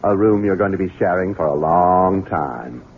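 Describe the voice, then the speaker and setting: An actor playing a sly, falsely sweet Southern gentleman son in a 1970s radio mystery, domineering beneath mock courtesy, with a Southern US accent. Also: sits low, animated, moderate pace, slight background noise. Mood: menacing. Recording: muffled, tinny old radio broadcast.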